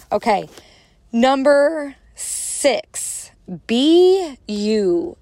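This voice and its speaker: A woman's voice: mid-range voice, darkish tone, dry recording. A young woman with a strong Chicago accent, hosting a solo motivational podcast in a casual, encouraging way. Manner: casual, encouraging, acts out inner voices. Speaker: podcast host.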